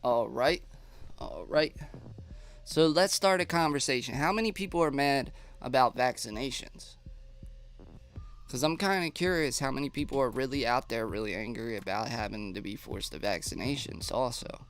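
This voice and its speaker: A man's voice: medium pitch, normal speed. Stuffy-nosed man chatting on a live stream. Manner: chatty, reads viewer comments aloud. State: stuffy nose. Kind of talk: casual live stream chat.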